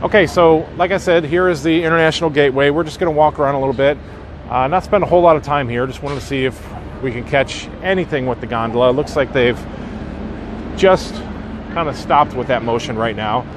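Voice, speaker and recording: A man's voice: fairly high pitch, brisk, background noise. A man doing a casual live-stream walking tour of a theme park, greeting viewers by name with lots of fillers, a bit sniffly from a sinus infection. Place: outdoors at a theme park walkway.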